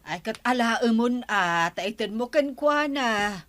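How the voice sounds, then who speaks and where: Grumbling village auntie, bright high voice. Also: female voice, expressive, takes it slowly. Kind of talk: comic radio drama acting.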